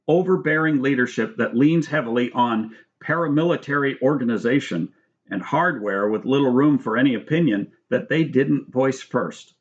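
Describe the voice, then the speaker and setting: A middle-aged American man reads his own nonfiction book aloud in a measured, instructive manner, adding spoken asides. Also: mid-range voice, muffled recording. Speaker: author reading own book. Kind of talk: audiobook-style reading of nonfiction with spoken asides.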